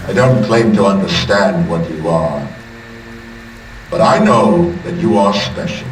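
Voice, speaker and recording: An actor playing an imperious, menacing middle-aged tycoon in a video game, speaking in a measured, pompous, coldly formal way. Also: male voice, low voice, slight background noise. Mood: menacing bargaining. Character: imperious, menacing male tycoon who rules a city. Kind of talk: dramatic video game villain speech. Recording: distant, echoing voice under music. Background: music.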